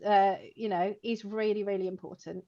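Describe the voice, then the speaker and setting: A woman's voice: medium pitch, moderate pace. Chatty woman, slightly lo-fi sound. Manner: chatty, animated, many fillers like "like" and "you know", laughs while talking. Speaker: co-host, co-owner of a computing education company. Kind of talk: informal conversational chat.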